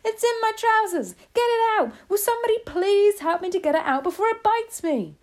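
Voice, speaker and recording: A woman voicing a panicked male kitchen cook from a storybook, shouting frantically. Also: extremely high pitch, expressive, moderate pace, pitched above the performer's own voice. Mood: frantic.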